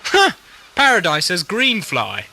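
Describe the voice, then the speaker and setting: An actor playing a flippant, dismissive traveller, breezy and sarcastic, in an audio drama. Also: male voice, high-pitched, wildly swooping pitch, unhurried, vocal fry, sharp tone, slight background noise, full character voice, rough recording. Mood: breezy scepticism.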